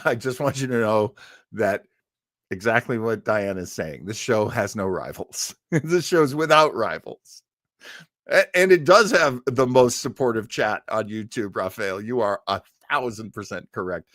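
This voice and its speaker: A man's voice: lowish voice, a bit bright, no room sound, studio quality. A man hosting a livestream, chatting casually with viewers in a rambling, stop-start way. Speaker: host of a livestream show.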